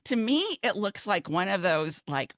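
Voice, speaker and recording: Animated middle-aged American woman, lively pitch. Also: unhurried, no echo, studio quality. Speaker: podcast co-host. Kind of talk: casual, chatty group conversation.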